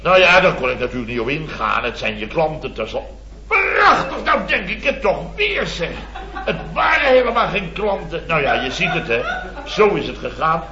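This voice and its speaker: An actor playing a blustering, indignant middle-aged married contractor, telling a rambling, exasperated comic anecdote in a radio serial. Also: male voice, on the high side, big pitch swings, moderate pace, background noise. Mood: exasperated storytelling, then flustered, indignant. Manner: exasperated, rambling, mimics others mockingly. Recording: muffled old radio, echoey, audience laughter.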